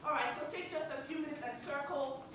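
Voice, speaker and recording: High-voiced Ghanaian woman in an echoey room. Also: brisk. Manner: cheerful, chatty, laughs often, asks the audience questions. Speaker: workshop speaker. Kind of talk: interactive stress-relief workshop. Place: meeting room with a small live audience. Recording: echoey room, mic far off, background noise.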